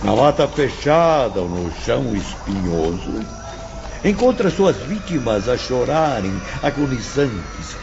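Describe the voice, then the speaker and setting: An actor playing a solemn spirit instructor, stern yet compassionate, speaking gravely and deliberately in a dramatized audiobook. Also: male voice, medium pitch, sing-song, full character voice. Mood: grave, pitying. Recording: muffled lo-fi audio, music behind.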